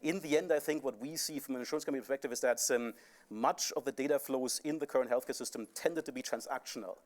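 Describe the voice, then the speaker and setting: Brief: rapid male panelist, European accent, mid pitch, explanatory, filler-heavy conference talk. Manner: fast, explanatory, with frequent fillers. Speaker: panelist, insurance company CEO. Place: conference stage.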